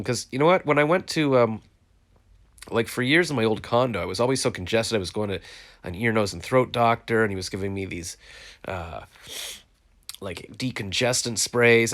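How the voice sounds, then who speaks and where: A man's voice: lowish voice, a bit bright. Congested man venting on a live stream. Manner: frustrated, venting, rambling. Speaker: vlogger hosting a live stream. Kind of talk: casual rambling chat to viewers. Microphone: phone, live stream from home.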